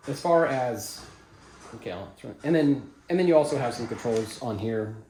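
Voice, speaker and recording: A man giving a casual how-to demonstration on audio setup for dance classes, relaxed and rambling with blunt opinions. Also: mid-range voice, moderate pace, a little hiss or hum. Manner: relaxed, rambling, many fillers and restarts. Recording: echoey room.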